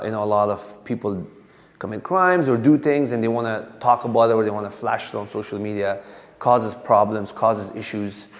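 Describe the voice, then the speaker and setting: A man in his thirties from Afghanistan, a police officer giving an informal community talk in a conversational way with many fillers and restarts. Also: on the low side. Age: 30s. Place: community hall. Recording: long hall reverb.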